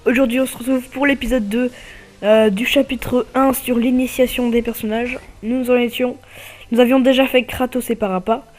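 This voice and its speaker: A man's voice: extremely high pitch, level tone. A man with a slight cold giving casual, hesitant commentary over his own gameplay as channel host. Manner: hesitant, many filler pauses.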